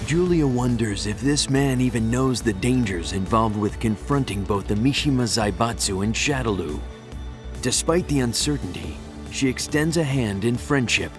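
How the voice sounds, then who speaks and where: A man's voice: medium pitch, expressive, normal speed, over music. A man reading scripted story narration as the narrator of a video game.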